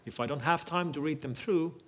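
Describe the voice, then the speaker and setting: Finnish man giving a keynote talk. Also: fairly high pitch. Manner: measured, thoughtful, frequent restarts. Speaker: keynote speaker. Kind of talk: conference keynote with audience Q&A. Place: large lecture hall on stage. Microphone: headset mic.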